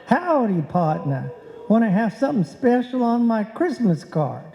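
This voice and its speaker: A woman's voice: a little high, big pitch swings, unhurried, vocal fry, exaggerated voice. A woman playing a folksy, drawling male cowboy caller in a live old-time radio comedy. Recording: dull echoey hall recording.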